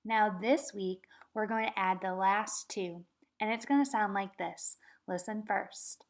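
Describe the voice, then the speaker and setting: A woman teaching kindergarten, reading a nonfiction book aloud and chanting words slowly for the children to repeat. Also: medium pitch. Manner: slow, patient, sing-song chanting of words for children to repeat. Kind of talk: read-aloud lesson for young children.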